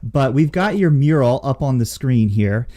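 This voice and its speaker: A man's voice: mid-range voice, expressive, normal speed, mellow, a little hiss or hum. A middle-aged man co-hosting a casual chat show, speaking bluntly and informally with plenty of swearing. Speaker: podcast co-host.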